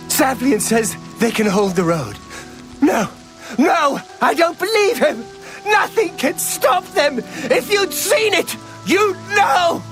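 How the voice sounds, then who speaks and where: A man's voice: extremely high pitch, fairly bright tone, heavily acted. A male actor playing a frantic, despairing refugee in a fantasy video game, overwrought and emphatic. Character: panicked, despairing refugee fleeing a demon attack. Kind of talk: dramatic fantasy game dialogue.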